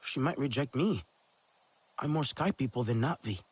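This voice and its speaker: An actor playing an unsure young alien hunter in a video game, hesitant and questioning. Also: male voice, mid-range voice, expressive, moderate pace, husky. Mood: earnest. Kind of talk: dramatic video game acting.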